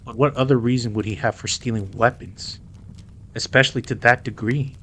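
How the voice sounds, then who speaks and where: A man's voice: medium pitch, normal speed, fairly bright tone, slight background noise, close to an everyday voice. A man playing a protective, easygoing mafia boss with a wry streak in an audio roleplay. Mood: incredulous.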